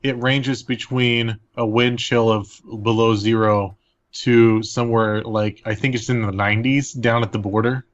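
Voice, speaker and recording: A man's voice: mid-range voice, steady tone, measured pace, highs cut off. A man from Texas chatting casually on a podcast in a playful, joking manner. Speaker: podcast panelist. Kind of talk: casual chat.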